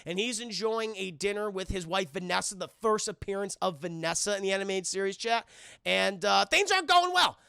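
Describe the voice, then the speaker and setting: A man streaming an animated, profane recap of a cartoon, scene by scene, for a live chat. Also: high voice, fairly quick, gravelly, smooth, dry recording, clean studio sound. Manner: profane, acts out characters' dialogue excitedly.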